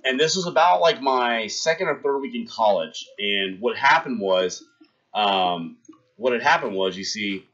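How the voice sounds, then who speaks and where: A man in his thirties streaming live, telling a long gross-out story with animated delivery and acting out the scenes for his chat. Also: medium pitch, measured pace, dull audio.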